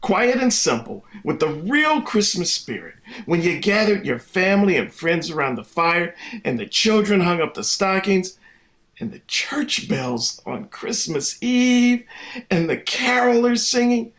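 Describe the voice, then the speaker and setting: A man's voice: sits high, sing-song, measured pace, a bit bright, exaggerated voice. A man playing a wordy, mock-formal, exasperated middle-aged father in a vintage-style radio comedy. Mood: nostalgic. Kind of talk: vintage radio sitcom acting.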